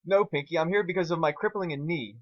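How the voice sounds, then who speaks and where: A man's voice: a little high, fast talker, no room sound, muffled recording, pitched above the performer's own voice, close to an everyday voice. A man voicing a grouchy, bored, foul-mouthed female pony mage in a story reading, given to exasperated outbursts. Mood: grumpy, impatient.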